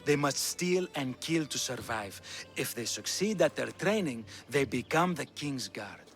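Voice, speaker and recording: An actor playing a shrewd, proud Spartan king in a video game, speaking with a Greek accent in a deliberate, wry, rhetorical way. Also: medium pitch, animated, fairly bright tone, soft background music. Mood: grave, scornful. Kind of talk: video game cutscene acting.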